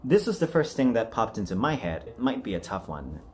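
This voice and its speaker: Low-pitched man, rambling casual vlogger. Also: big pitch swings, a little fast, a little hiss or hum. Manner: rambling, self-deprecating, apologetic. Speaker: amateur voice actor and video host. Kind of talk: casual unscripted vlog chat.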